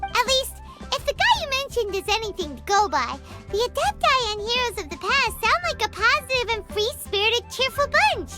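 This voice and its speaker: A woman's voice: extremely high pitch, sing-song, normal speed, loud music behind the voice. An actress voicing a tiny, cheerful female fairy-like companion who chatters eagerly and calls herself by name. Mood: friendly. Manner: bubbly, refers to herself in third person. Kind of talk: video game voice acting.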